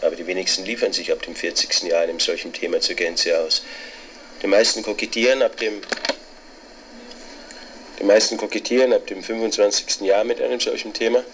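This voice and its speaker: Deep-voiced, obsessive middle-aged scholar. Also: male voice, fairly even intonation, a little fast, fairly bright tone, a little hiss or hum, rough recording. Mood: brooding, pedantic. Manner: obsessive, repetitive, pedantic monologue. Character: obsessed, bitter middle-aged male scholar, contemptuous of experts. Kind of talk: literary radio drama monologue.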